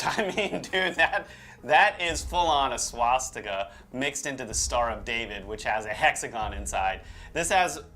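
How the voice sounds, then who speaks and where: A man's voice: medium pitch, expressive, unhurried, bright, forceful tone, faint music behind. A man co-hosting with casual commentary and banter, informal and jokey in a slangy way. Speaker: co-host.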